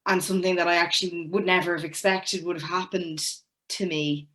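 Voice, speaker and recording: A woman's voice: on the low side, level tone, fairly quick, fairly bright tone. A young woman with an Irish accent, an actress speaking as a guest, talking with frequent fillers and restarts. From Ireland. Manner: hesitant, many fillers and restarts. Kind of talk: casual interview talk.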